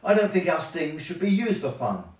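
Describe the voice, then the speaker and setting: Man voicing a prim, gentle female bumblebee, lively pitch. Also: moderate pace, echoey room, recorded from a distance, rough recording. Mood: indignant scolding. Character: gentle, principled female bumblebee, indignant and scolding.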